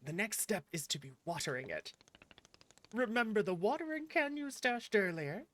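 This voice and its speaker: A woman voicing a gentle, patient garden keeper in a cozy video game, with courteous, slightly formal instructions. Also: mid-range voice, very animated, moderate pace. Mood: patient, encouraging. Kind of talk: reading cozy video game dialogue aloud.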